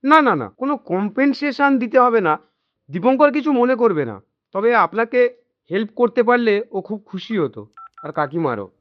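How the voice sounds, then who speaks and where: An actor playing a considerate younger family friend in a radio drama, speaking politely and reassuringly. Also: male voice, very high voice, big pitch swings, normal speed, no room sound. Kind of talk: Bengali radio drama acting. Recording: dull lo-fi audio with background music.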